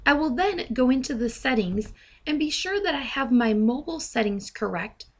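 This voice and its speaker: A woman narrating a step-by-step software tutorial as a trainer, in a methodical, instructional way. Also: high voice, expressive, moderate pace.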